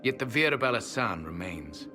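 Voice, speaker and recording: An actor playing a proud, ancient elven temple guardian in a fantasy game, speaking in grave, formal, archaic phrases with disdain. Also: male voice, medium pitch, expressive, normal speed, music in the background, close to an everyday voice. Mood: solemn. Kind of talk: dramatic fantasy game acting.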